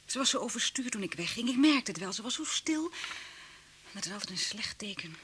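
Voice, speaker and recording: An actress playing a quiet, polite, worried young woman in a radio drama. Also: on the high side, very animated, fairly quick. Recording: old radio recording, faint noise.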